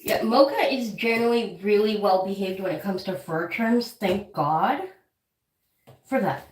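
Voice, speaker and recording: A woman with a head cold who keeps clearing her throat, chatting casually on a home livestream while caring for her guinea pigs and talking fondly to them. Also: mid-range voice, a little slow, roomy sound, recorded from a distance, low-quality recording. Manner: chatty, talks to her pets affectionately. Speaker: guinea pig channel host. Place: room at home, weighing pets on a counter.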